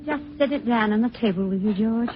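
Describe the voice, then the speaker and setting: An actress playing a gentle, ailing middle-aged aunt in an old radio drama, soft-spoken and resigned. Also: female voice, a little high, fairly quick, soft background music, natural voice. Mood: gentle concern. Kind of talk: 1940s radio drama acting. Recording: muffled old radio recording.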